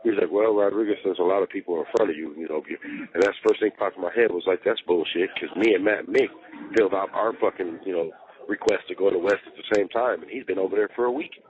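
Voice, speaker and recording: A man's voice: low-pitched, fast. A man talking casually over a jail phone, venting in slangy, swear-heavy speech and repeating himself for emphasis. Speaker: jail inmate on a phone call to partner. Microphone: telephone handset. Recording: muffled, band-limited recorded jail phone call.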